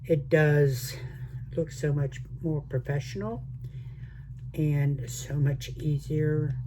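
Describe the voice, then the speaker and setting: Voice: mid-range voice, background noise. An older, retired speaker with a mixed Southern American accent, chatting casually to viewers while doing a resin craft. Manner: chatty, rambling, talks to viewers as y'all. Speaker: resin crafting channel host. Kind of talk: casual crafting vlog chat.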